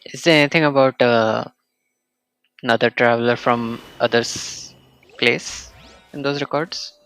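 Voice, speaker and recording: Slow, gravelly male game streamer. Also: steady tone, a bit bright, faint music behind, poor audio. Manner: slow, low-key, mumbled reactions. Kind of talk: casual gameplay commentary.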